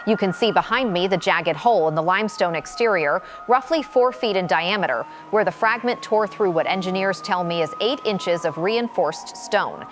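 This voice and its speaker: A woman's voice: fairly low pitch, animated, normal speed, few pauses, close to an everyday voice. A woman playing a serious field reporter giving a formal, descriptive live report in an audio drama. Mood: serious, urgent. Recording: clearly noisy ambient background.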